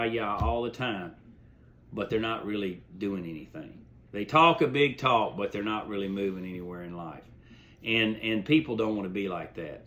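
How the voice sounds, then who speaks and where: A man's voice: on the low side, a bit bright. A middle-aged man giving an informal, folksy talk, telling stories and offering plainspoken advice, repeating phrases for emphasis. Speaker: host.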